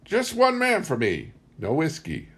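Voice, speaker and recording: A man voicing a frail, friendly, senile old woman in broken, halting English for a storybook reading. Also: fairly high pitch, huge pitch swings, fairly bright tone, breathy edge, pitched above the performer's own voice, heavily acted. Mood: earnest, troubled.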